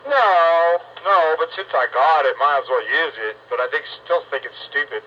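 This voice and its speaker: A man's voice: a little high, expressive, normal speed. A man chatting casually over a radio link, blunt and wry. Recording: dull band-limited radio link, faint noise.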